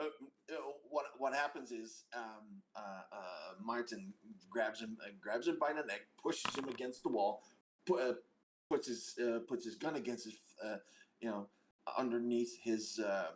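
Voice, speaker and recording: A man playing a tabletop game, casually describing actions in a halting way, repeating words as he searches for phrasing. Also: medium pitch, a little hiss or hum, poor audio.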